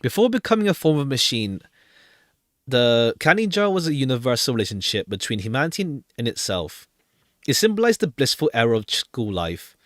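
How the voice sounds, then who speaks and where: A man's voice: medium pitch, sing-song, a little fast, a bit bright, no echo, clean studio sound. A man streaming a visual novel, reading the game text aloud and adding casual commentary.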